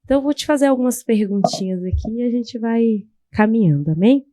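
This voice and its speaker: A woman's voice: a little high, fairly quick, mellow, no echo. Affirming woman podcast host. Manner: affirming, with frequent "glória a Deus". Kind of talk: church podcast interview.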